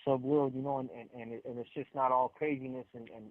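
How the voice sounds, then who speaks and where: A tinny, narrow telephone line carries a man's medium-pitched voice, with no room echo. He is a caller talking casually and in earnest. He repeats and restarts phrases as he makes his point. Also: fairly even intonation, quick pace. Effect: phone line. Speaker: incarcerated guest caller. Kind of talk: casual, earnest explanatory talk. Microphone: telephone handset.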